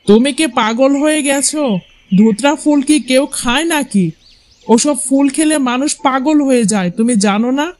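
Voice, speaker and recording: A man's voice: extremely high pitch, moderate pace, a little mellow. A man playing a dutiful, insistent young husband in a cartoon fairy tale. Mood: alarmed.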